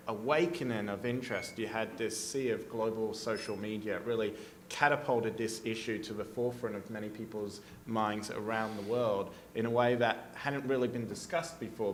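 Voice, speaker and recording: A man's voice: on the low side, a little hiss or hum. Australian man speaking on a panel. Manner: earnest, thinks aloud with many "you know"s. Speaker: panelist, advocacy group executive. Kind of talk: panel discussion. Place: conference hall.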